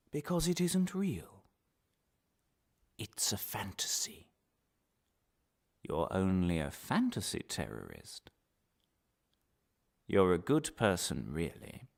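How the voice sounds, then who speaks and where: Man playing insinuating interrogator, swinging pitch and whispers. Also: creaky, full character voice. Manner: insinuating, falsely friendly, halting, dropping into whispers. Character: smooth menacing male official interrogating a detainee. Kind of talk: one-man radio drama monologue.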